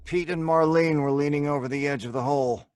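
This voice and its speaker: A man's voice: a little high, steady tone, fairly quick, a little mellow, slight background noise, natural voice. Man acting a brash hard-boiled crook narrator. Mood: tense storytelling. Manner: slangy, hard-boiled tough talk. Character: brash, mocking male crook narrating his own tale. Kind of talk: old-time radio drama acting, first-person crime narration.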